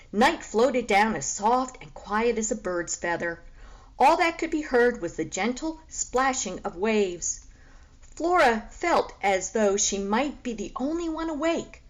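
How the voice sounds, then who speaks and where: A woman reading a children's book aloud as expressive storybook narration. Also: fairly high pitch.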